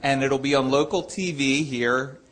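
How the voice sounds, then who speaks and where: A man making a casual, enthusiastic announcement, spelling things out slowly. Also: medium pitch, a bit bright, a little hiss or hum. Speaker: audience member making an announcement.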